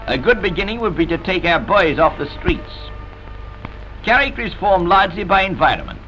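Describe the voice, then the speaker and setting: Solemn vintage newsreel man, animated and bright. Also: high voice. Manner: solemn, dramatic, oratorical. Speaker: newsreel narrator. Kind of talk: scripted vintage newsreel narration. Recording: dull vintage newsreel soundtrack.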